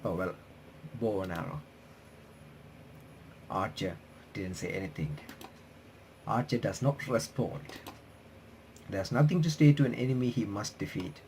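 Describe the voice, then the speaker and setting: A man's voice: on the low side, darkish tone, a little hiss or hum. A man reading visual novel text aloud as a playthrough host, with casual asides, often stumbling and restarting his sentences. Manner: halting, stumbles over words and restarts.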